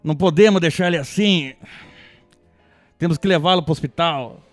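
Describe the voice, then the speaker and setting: A man's voice: on the high side, normal speed, faint music behind, lightly acted. A man playing a bossy, foul-mouthed talking dog, a crime-fighting sidekick who scolds in exasperation, in an audio drama. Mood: exasperated, scolding.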